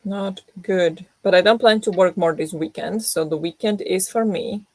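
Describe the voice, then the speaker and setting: A young woman who has just woken up talks rambling and unhurried to her viewers, full of fillers and restarts. Her voice sits a little low and sounds groggy. The room sound is dry and close. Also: a little mellow, dry recording. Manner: rambling, self-deprecating, many fillers and restarts. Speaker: book vlogger hosting a reading sprint. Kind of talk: casual chat to viewers.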